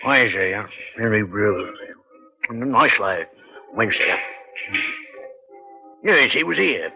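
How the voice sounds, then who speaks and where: A man playing a shifty working-class London pool hall owner in an old radio crime drama, slow and mulling. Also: lowish voice, expressive, vocal fry. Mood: evasive. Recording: muffled old radio recording, music behind.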